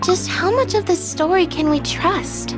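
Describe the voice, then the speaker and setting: A woman voicing a pensive, cautious cookie adventurer in a game, with a thoughtful, measured manner. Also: very high-pitched. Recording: music behind the voice.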